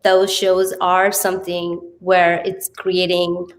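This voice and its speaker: A woman's voice: lowish voice, completely flat delivery, slow pace. A woman with a Sri Lankan accent speaking earnestly as an interview guest, explaining things phrase by phrase. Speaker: podcast guest, TV presenter and radio host. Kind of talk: conversational interview self-introduction and explanation.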